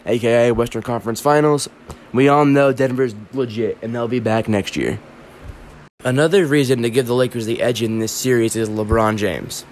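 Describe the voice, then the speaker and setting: A congested man hosting his own show, delivering an opinionated, emphatic sports monologue. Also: medium pitch. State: congested from allergies. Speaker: podcast host.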